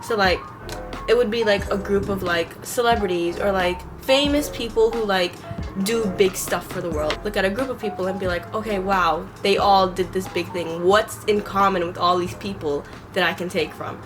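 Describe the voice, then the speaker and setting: A woman's voice: mid-range voice, moderate pace. A young woman vlogging while she does her makeup, rambling with lots of "like" and "um", recovering from being sick. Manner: rambling, many fillers like "like" and "um". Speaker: beauty vlogger. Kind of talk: casual chat while doing makeup. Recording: clear background music.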